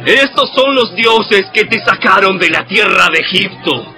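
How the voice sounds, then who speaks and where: A man's voice: high-pitched, animated, unhurried, pushed and bright, heavily acted. An actor playing a fervent, impatient male Israelite calling out from a crowd in an audio Bible drama. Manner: exclaiming, fervent. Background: music.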